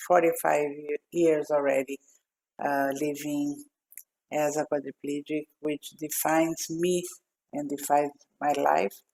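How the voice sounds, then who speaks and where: Slow-spoken Brazilian woman in her sixties. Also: sits low, little pitch movement, darkish tone, poor audio. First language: Portuguese. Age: 60s. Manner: slow, thoughtful speech with pauses and fillers. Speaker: guest. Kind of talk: podcast interview. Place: home office with glass wall, remote.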